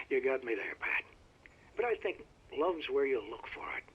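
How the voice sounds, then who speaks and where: An actor playing a wry, plainspoken small-town doctor in conversation on a radio show within a video game. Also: male voice, medium pitch, slightly rough, full character voice. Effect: radio filter. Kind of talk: conversational radio interview acting. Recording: dull, noisy radio broadcast.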